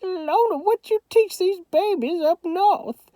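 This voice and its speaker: Bossy, booming old Southern grandmother, very high, gushing, tearful,, dry close sound. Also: slow, no room sound. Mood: doting. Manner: shouting, weepy, domineering.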